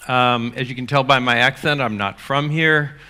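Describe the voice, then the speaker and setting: Bright-voiced older American man speaking. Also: mid-range voice, moderate pace. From United States. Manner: energetic, rambling with many fillers and restarts. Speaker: conference speaker. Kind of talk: keynote talk. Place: conference stage.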